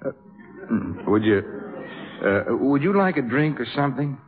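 An actor playing an earnest, awkward American jazz musician, plain-spoken and halting, in 1950s radio drama style. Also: male voice, medium pitch, very animated, natural voice. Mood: shy, awkward. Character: earnest, awkward, lovestruck male jazz trumpet player. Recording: tinny, narrow old radio broadcast.

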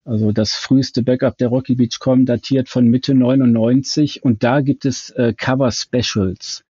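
A man's voice: medium pitch, fairly even intonation, moderate pace, mellow, breathy edge, no echo, lo-fi sound. A man explaining things in detail as an interview guest, with precise, somewhat bookish wording. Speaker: fan website co-founder, podcast guest.

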